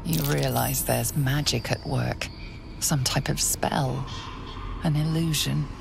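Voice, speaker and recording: A woman narrating a dark fantasy game in a measured, hushed, grave style of scripted storytelling. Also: rumbling low, fairly even intonation, creaky, background noise, exaggerated voice. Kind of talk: scripted second-person narration.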